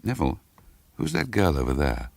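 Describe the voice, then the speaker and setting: A man's voice: a bass voice, huge pitch swings, moderate pace, creaky, slightly rough, no echo, heavily acted. A man reading aloud as a young English wife, curious, impulsive and a little timid, in a radio story. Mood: surprised, curious. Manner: eager questioning, hushed self-reassurance.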